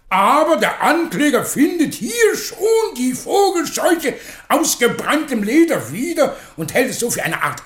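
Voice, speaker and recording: Theatrical, wildly swooping courtroom advocate. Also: male voice, extremely high pitch, echoey room, exaggerated voice. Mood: arguing, sardonic. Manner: declamatory, pompous, swinging from hushed to shouted. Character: theatrical, indignant, sarcastic male defence lawyer pleading in court. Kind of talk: theatrical German radio play oratory.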